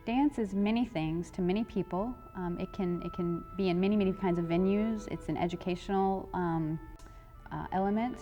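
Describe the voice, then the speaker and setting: A woman who leads a dance project, speaking in a thoughtful, measured way with a few fillers in a documentary interview. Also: medium pitch, highs cut off, soft background music. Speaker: dance researcher.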